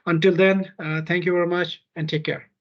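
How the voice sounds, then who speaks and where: High-pitched man, halting online presenter. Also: flat delivery. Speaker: chapter representative presenting. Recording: dull, lo-fi online call audio.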